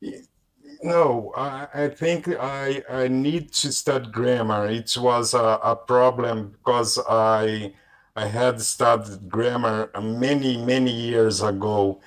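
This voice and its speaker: A man's voice: mid-range voice, steady tone, low-quality recording. A man with a strong Brazilian accent, Portuguese his first language, speaking hesitantly as a language learner in an online conversation group with many restarts. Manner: hesitant, halting, frequent restarts. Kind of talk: online conversation party chat.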